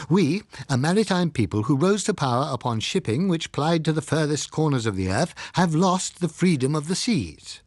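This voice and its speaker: A man's voice: on the high side, no room sound, clean studio sound, pitched above the performer's own voice. A man reading an audiobook, playing an emphatic, rhetorical male scientist giving a sombre broadcast commentary. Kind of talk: dramatised audiobook character speech.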